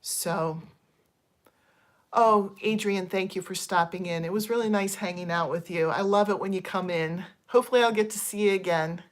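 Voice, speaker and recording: A woman's voice: mid-range voice, normal speed. A woman in her fifties chatting casually on a livestream, digressing often and thanking viewers as she airs candid, frustrated complaints with plenty of ums. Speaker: channel host.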